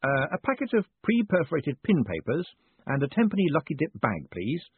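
A man voicing a smooth con man in an audiobook, feigning innocent enthusiasm in a hesitant, ingratiating way. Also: mid-range voice, big pitch swings, a little slow, dry recording, voice pushed up. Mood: coy, ingratiating. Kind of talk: audiobook character acting. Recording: muffled narrow phone-like sound.

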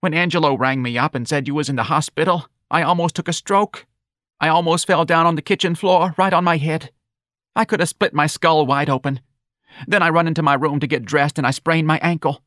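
A man's voice: a little high, fairly even intonation, a little fast, a bit bright, no echo, clean studio sound, pitched above the performer's own voice, natural voice. A man voicing a weepy, exasperated working-class Southern mother in an audiobook, scolding plaintively in ungrammatical speech. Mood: aggrieved. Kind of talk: audiobook character dialogue.

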